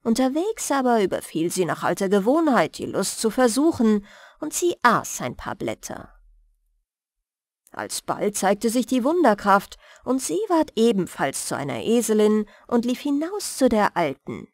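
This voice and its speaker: A woman storyteller reading scripted bedtime fairy tales with expressive, careful phrasing. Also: mid-range voice, unhurried, no echo, clean studio sound. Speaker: storyteller, audiobook reader.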